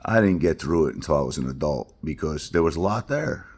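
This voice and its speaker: A man's voice: rumbling low, expressive, a little fast, a little mellow, breathy edge. A middle-aged man from Queens, talking bluntly and heartfelt in a rambling personal monologue. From Queens, New York. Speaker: vlogger.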